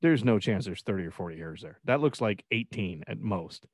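A man's voice: fairly low pitch, lively intonation, brisk, no room sound. A man in his thirties co-hosting a podcast, rambling and teasing in casual banter with light swearing. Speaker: podcast co-host.

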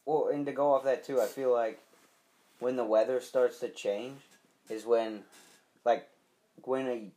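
A man's voice: mid-range voice, fairly even intonation, unhurried, pauses often, mellow, rough recording. A man co-hosting a wrestling podcast in casual chat, a bit stuffy with a lingering dry cough. He talks in a laid-back, hesitant way, full of "um" and "like". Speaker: podcast co-host.

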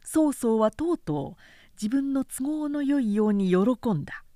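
A woman giving a measured, dramatic audiobook reading of a historical novel in an expressive storytelling delivery. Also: fairly high pitch, no echo, studio quality. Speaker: narrator.

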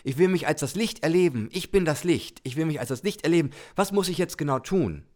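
A man voicing a small, excited, childlike soul in a podcast story, eager and repeating itself. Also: medium pitch, normal speed, natural voice. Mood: overjoyed.

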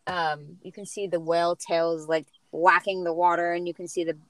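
A woman's voice: on the low side, level tone, moderate pace, poor audio. A young woman from Maui, a songwriter guest, chatting in an easygoing way. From Maui, Hawaii. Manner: easygoing, laughs often. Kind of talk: casual interview chat.

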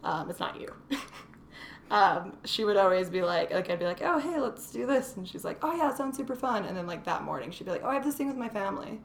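Sarcastic young woman with a creaky voice. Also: medium pitch, expressive, fast talker. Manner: sarcastic, joking, laughs often. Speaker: podcast co-host. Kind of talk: casual chat. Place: small room, mic on table. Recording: faint background noise.

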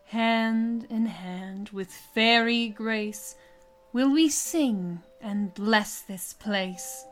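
A woman playing a graceful, gentle fairy queen, speaking measured, lyrical verse in a radio play. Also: a little high, faint music behind.

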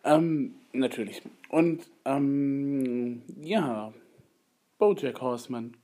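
A man's voice: mid-range voice, drawn-out words, warm tone. A man with a slight cold giving a rambling, hesitant spoken review in a casual monologue. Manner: rambling, hesitant, repeats filler phrases. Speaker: video blogger.

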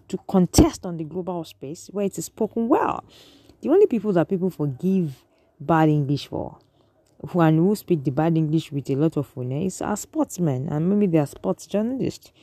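A woman's voice: lowish voice, animated, normal speed, mellow, no room sound. A Nigerian woman giving an earnest, lecturing opinion monologue to an audience, often restarting her sentences.

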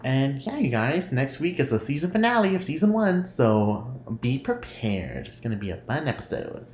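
A man's voice: mid-range voice, big pitch swings, moderate pace, a little mellow. Casual solo podcast host, man, nasal, giggly and rambling; roomy echo with faint background noise. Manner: rambling, giggly, laughs often.

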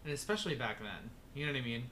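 A man's voice: medium pitch, expressive, racing, pushed and bright, slight background noise. A young man co-hosting a casual podcast, rambling with lots of fillers and swearing freely. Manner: loose, swears a lot, rambling with many fillers. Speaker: podcast co-host.

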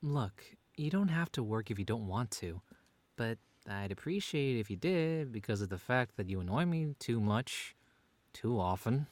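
A man's voice: mid-range voice, normal speed, natural voice. A man playing a long-suffering husband and father who banters drily in a scripted audio comedy drama. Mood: dry teasing. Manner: dry, deadpan, bantering.

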